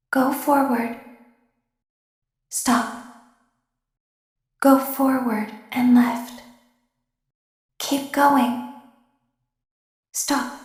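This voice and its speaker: A woman voicing a calm, manipulative animatronic robot girl from a game, speaking in slow, measured tones that often drop to hushed whispers. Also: high voice. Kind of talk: fan voice acting of game lines. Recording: roomy echo.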